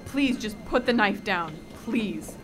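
A woman's voice: medium pitch, expressive, slow. A woman playing a serious, weary, introverted teenage girl in a radio drama, plain and earnest. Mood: pleading, firm. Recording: loud background noise.

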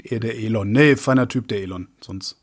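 A man's voice: on the low side, a little fast. A man co-hosting a casual podcast, sarcastic and joking. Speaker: podcast co-host. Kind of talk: casual chat.

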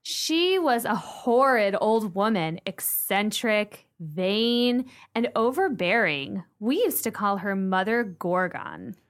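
An actress playing an opinionated, gossipy wife in a radio play, with a pointed, theatrical delivery. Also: female voice, medium pitch, expressive, takes it slowly, few pauses. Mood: disdainful. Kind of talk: radio drama dialogue.

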